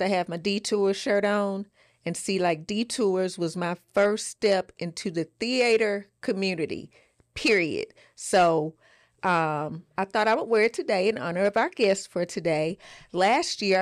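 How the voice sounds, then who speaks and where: Female podcast co-host, mid-pitched, emphatic and enthusiastic, conversational, with a close dry sound. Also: measured pace, no room sound. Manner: emphatic, enthusiastic, repeats words. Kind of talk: casual podcast chat.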